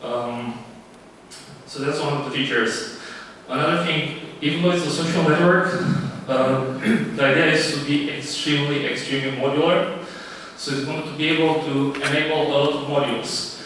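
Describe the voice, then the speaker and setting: A man from Romania pitching his startup live, halting often with fillers and restarts. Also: a bit bright, slight background noise. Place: event room. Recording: echoey room, distant mic.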